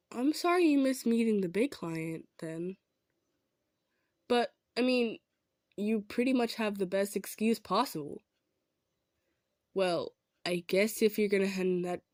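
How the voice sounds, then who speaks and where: Kind female centaur, played by a woman: mid-pitched, modest, softly insistent, roleplay delivery straight to the listener, no room sound. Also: no room sound, clean studio sound, lightly acted. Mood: pleased, caring. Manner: earnest, hesitant, gentle insisting. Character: kind, humble, self-effacing female centaur. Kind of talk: improvised audio roleplay addressed to the listener.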